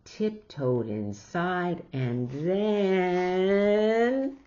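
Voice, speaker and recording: Slow, expressive woman reading a storybook. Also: lowish voice. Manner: theatrical, exclaims reactions between lines. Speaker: storybook reader. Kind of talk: expressive read-aloud storytelling for children. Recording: dull lo-fi recording.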